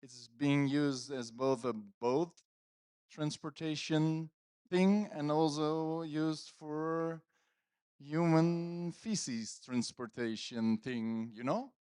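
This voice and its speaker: A man's voice: on the high side, takes it slowly, darkish tone, clear, resonant tone, no room sound, clean studio sound. A man hosting a conference on stage, casually bantering and teasing the audience and his guest into a microphone. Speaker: conference host. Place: conference stage at live event. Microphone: handheld stage microphone.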